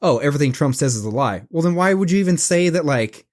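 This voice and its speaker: A man in casual podcast chat, reasoning in an even-handed way and laughing as he argues. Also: fairly high pitch, fast talker.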